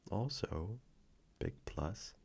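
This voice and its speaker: A man playing a gentle, affectionate boyfriend in an intimate audio roleplay, speaking softly and reassuringly with a low, gravelly, sometimes breathy voice. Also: lively intonation, exaggerated voice. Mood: hopeful, coaxing. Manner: soft, slow, reassuring, intimate.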